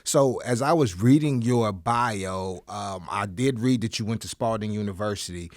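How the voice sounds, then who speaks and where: A man hosts an interview podcast, drops in short acknowledgements, and asks questions that pick up his guest's story. His voice sits on the low side and sounds dry and close with no room echo. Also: moderate pace. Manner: attentive, frequent short backchannels. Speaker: podcast host. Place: podcast studio.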